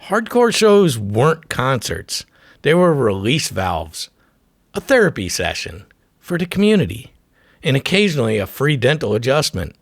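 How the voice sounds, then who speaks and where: A man's voice: medium pitch, huge pitch swings, normal speed, dry recording, studio quality. A man narrating a scripted music documentary as its host, delivering short, punchy, dramatic phrases. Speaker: host of a music history show.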